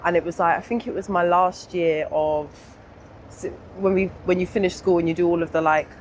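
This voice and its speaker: A young adult woman with a southern English accent speaks candidly and informally in an interview, pausing to think as she reflects. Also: lowish voice, fairly even intonation, a little hiss or hum. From England. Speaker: interview guest.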